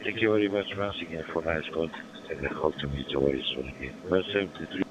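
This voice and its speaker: German ham operator, man, booming low voice, hesitant English, hissy muffled signal. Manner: halting non-native English with fillers. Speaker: radio amateur. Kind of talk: ham radio contact. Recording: muffled noisy radio signal.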